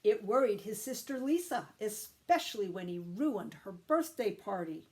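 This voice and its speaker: A woman reading a children's story aloud with expressive, deliberate emphasis. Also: medium pitch. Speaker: storytime reader, teacher.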